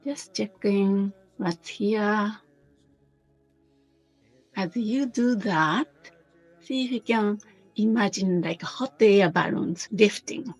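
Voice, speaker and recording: A woman leads a guided meditation very slowly, in short phrases with pauses between them. Her voice is slightly husky and gentle, at a middle pitch. Faint music plays under her words. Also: low-quality recording. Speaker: meditation teacher.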